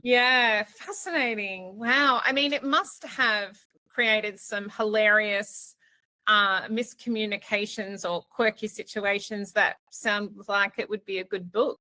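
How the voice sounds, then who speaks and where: A middle-aged woman chatting casually in an expressive, effusive way. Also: mid-range voice, takes it slowly, dry recording. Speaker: podcast participant, former journalist. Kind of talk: casual conversation.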